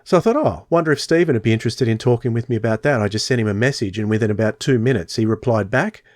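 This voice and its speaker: A man's voice: mid-range voice, rapid-fire, darkish tone, no echo, studio quality. A man hosting a podcast, chatting casually and telling stories with many fillers. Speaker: podcast host.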